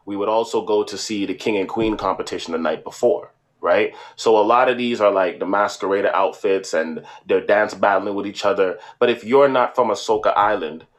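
A man's voice: on the low side, normal speed, few pauses. A man co-hosting a casual chat, explaining at length and often adding "right?". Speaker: podcast co-host.

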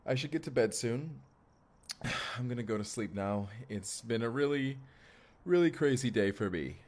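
A man's voice: mid-range voice, expressive, normal speed, darkish tone, close to an everyday voice. A young man acting a goofy, affectionate husband from the future in an intimate audio drama, speaking casually and hesitantly straight to the listener. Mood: wistful, tired. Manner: casual, hesitant, sighing, talks directly to listener. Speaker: actor in audio roleplay. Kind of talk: first-person immersive audio roleplay, intimate conversational acting.

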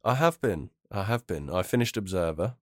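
Male co-host, British, very low pitch, mild head cold, informal gaming talk, dry recording. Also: lively intonation, moderate pace, dry recording. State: bunged-up nose from a cold. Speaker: podcast co-host. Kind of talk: casual chat about video games.

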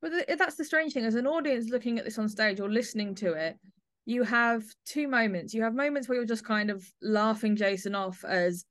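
A woman's voice: a little high, fairly quick, a bit bright, no echo. Woman podcast host, dry close sound. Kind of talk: interview chat about an audio play.

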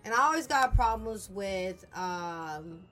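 A woman's voice: mid-range voice, animated, takes it slowly, pushed and bright, soft background music. A woman with a strong voice, chatting as a podcast guest in a blunt, emphatic, get-to-the-point way. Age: adult with a daughter. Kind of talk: casual chat.

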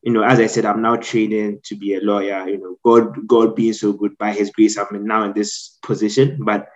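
A young man with a Ghanaian accent, a guest telling his own story in an earnest, measured way. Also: fairly low pitch, darkish tone, dull audio. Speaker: guest, trainee solicitor. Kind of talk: reflective personal storytelling.

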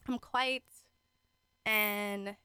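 A woman's voice: mid-range voice, steady tone, very slow, pushed and bright, clean tone, dry recording. A giggly, chatty woman in her twenties from the Caribbean, talking casually as a radio show guest. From Caribbean, raised in Trinidad and the British Virgin Islands. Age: 20s. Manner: giggly, chatty, acts out her own remarks. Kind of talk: casual chat.

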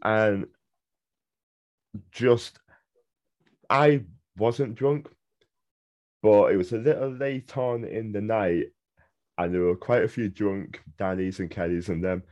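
A man's voice: on the low side, slow pace, pauses often, a little mellow. A man with a British accent hosting a casual podcast interview, chatty and enthusiastic. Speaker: podcast host. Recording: dull, lo-fi sound.

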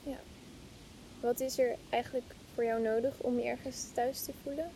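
A woman's voice: little pitch movement, moderate pace, warm tone. A woman asking questions as the interviewer in a casual podcast conversation. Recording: faint low rumbling background noise.

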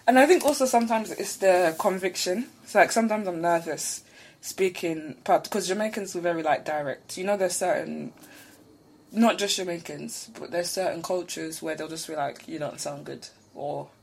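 Husky young British woman chatting casually. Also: fairly low pitch, normal speed. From Britain. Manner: relaxed, rambling with many fillers and restarts. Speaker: podcast co-host. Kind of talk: casual chat.

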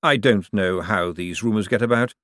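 A man voicing a cool, calculating older ruler who speaks dry, precise and deliberate in an audiobook. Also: fairly low pitch, fairly bright tone, no echo, natural voice. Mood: dry, knowing.

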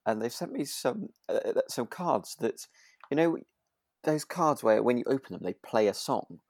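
British male podcast host, lively swooping intonation, dry close sound, chatty and a little halting. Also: mid-range voice, creaky, no echo. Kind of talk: relaxed casual chat.

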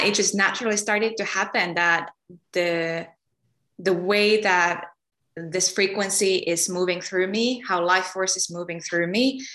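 A woman from Finland talks through an interview. Her voice is a little low but bright and clear. She often pauses to search for words and restarts her sentences. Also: low-quality recording. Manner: soft-spoken, searching for words with many ums and restarts. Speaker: guest, energy healer. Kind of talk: relaxed spoken interview.

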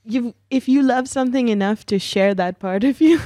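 A woman from Pakistan, a podcast guest telling stories in casual chat with quick, dark humour. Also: a little high, lively intonation, dry recording, studio quality.

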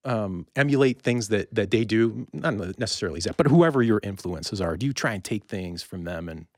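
A man hosting a casual interview podcast, speaking haltingly and rephrasing his thoughts and questions as he goes. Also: fairly low pitch, expressive, a little mellow, no room sound, studio quality.

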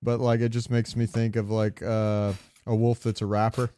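A man's voice: fairly low pitch, level tone, normal speed, dark tone, a little hiss or hum. A man co-hosting a podcast, chatting casually about games, joking and thinking aloud on a close microphone. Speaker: podcast co-host. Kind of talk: casual gaming chat.

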